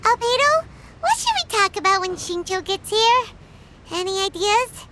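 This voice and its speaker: Little fairy sidekick, squeaky and sky-high, bouncy intonation, nosy, cheerful, game dialogue. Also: female voice, very animated, moderate pace, very noisy. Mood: excited. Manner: refers to self in third person. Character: small childlike floating fairy companion, cheerful and nosy. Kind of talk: video game voice acting.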